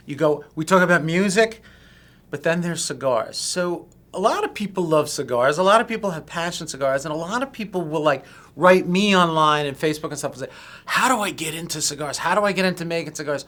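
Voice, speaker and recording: A man's voice: on the high side, a little fast, a bit bright. A male magazine editor hosting a casual interview, upbeat and chatty as he talks up his guest.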